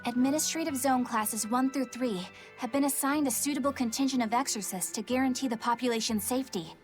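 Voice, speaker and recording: A woman's voice: high-pitched, brisk, faint music behind, lightly acted. An actress playing a dutiful female inspector in a video game, explaining things formally and precisely. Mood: explanatory.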